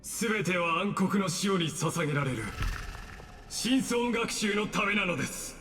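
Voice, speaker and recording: Coldly courteous scheming mastermind in a reverberant space. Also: male voice, high voice, animated, pushed and bright, a little hiss or hum. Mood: smug, composed. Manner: formal, polite, measured, condescending. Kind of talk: Japanese anime-style game voice acting.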